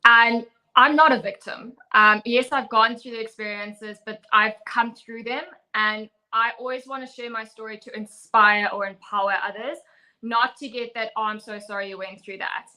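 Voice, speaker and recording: Young woman, from Zimbabwe, earnest, firm, bright raised voice. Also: fairly high pitch, fairly even intonation, normal speed, low-quality recording. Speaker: fitness coach and guest. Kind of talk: personal story in an interview.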